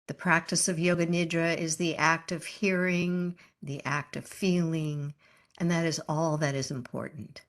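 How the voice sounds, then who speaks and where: A woman leading a guided meditation as a yoga teacher, speaking calmly and gently in unhurried phrases with pauses. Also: sits low.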